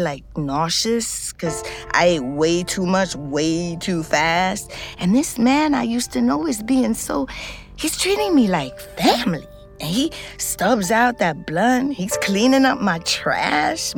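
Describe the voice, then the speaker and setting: An actress playing a weary, foul-mouthed middle-aged ex-convict, telling her story in a halting, blunt, confessional way. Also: medium pitch. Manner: halting, blunt, conversational, profane. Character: weary, foul-mouthed, wary woman ex-convict, a rootless New Yorker. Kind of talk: confessional fiction podcast narration and dialogue.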